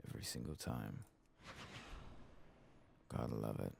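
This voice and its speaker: A soft-spoken man with a gravelly voice doing an ASMR game playthrough, muttering asides and reading game text. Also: a bass voice, a little slow, a little hiss or hum. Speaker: gaming streamer. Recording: faint game ambience behind.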